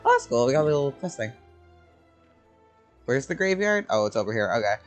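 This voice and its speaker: A woman's voice: extremely low pitch, sing-song, fairly quick, soft background music. A young woman casually commenting while she plays a game, chatty and laid-back. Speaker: gameplay streamer. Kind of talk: casual commentary over gameplay.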